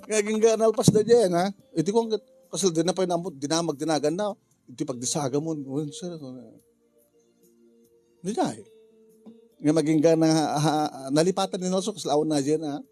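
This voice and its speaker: A man with an Ilocano Filipino accent talking casually through a drama story in a halting, rambling, jokey way. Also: a little high, mellow, faint music behind. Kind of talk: casual spoken story briefing.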